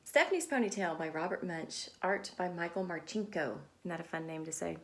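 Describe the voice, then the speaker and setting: A woman reading a children's story aloud like a teacher, in an animated storytelling style. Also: lowish voice, brisk. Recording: echoey, roomy sound.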